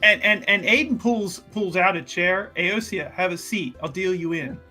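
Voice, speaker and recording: A man improvising casually as a player in a tabletop roleplaying game, halting and repeating words as he goes. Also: high-pitched, over music.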